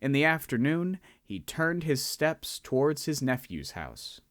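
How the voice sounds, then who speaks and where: A man narrating a radio drama in an expressive storytelling manner. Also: on the high side, a little slow, dry recording, clean studio sound.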